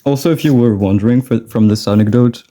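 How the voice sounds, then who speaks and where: Young man from France, mellow voice, rambling chat, frequent laughs. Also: mid-range voice, fast. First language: French. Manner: rambling, laughs often, restarts and trails off. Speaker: drawing vlogger. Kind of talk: casual rambling chat while drawing.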